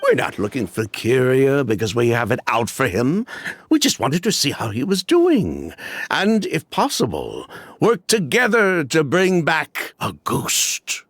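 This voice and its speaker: An actor playing a smooth, evasive middle-aged former perfume swindler in a video game. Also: male voice, mid-range voice, huge pitch swings, a little slow, exaggerated voice. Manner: evasive, chatty, with dismissive laughs.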